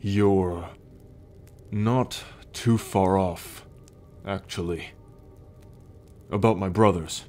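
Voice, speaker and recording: Man acting a haughty, slow, deep sun god. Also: steady tone, warm tone. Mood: grudging disdain. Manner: haughty, scornful, formal, sighing. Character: arrogant male sun god, imperious brooding captor husband. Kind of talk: ASMR roleplay audio drama. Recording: background music under the voice.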